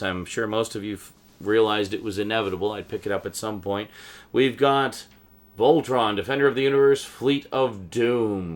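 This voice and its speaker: A man giving casual, unscripted show-and-tell commentary as a vlogger, rambling along with a fan's enthusiasm. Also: lowish voice. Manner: rambling, enthusiastic fan talk. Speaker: vlogger, collector showing DVD purchases.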